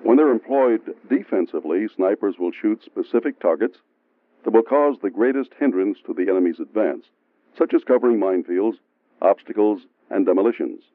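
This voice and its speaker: A man giving steady, measured scripted narration for a military training film. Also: lowish voice, no echo. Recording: tinny phone-like sound from an old training film, faint music.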